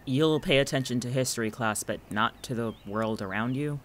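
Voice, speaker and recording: A man's voice: mid-range voice, normal speed, natural voice. A man playing a guarded, watchful friend in an audio drama, speaking conversationally with dry, exasperated sarcasm. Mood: dry, sceptical. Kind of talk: naturalistic audio drama dialogue.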